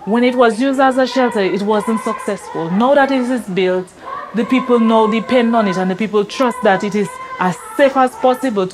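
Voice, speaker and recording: Woman teacher speaking quickly over music. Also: fairly high pitch, a crowd in the background. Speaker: primary school teacher. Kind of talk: documentary interview. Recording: clear music bed under voice. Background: soft music.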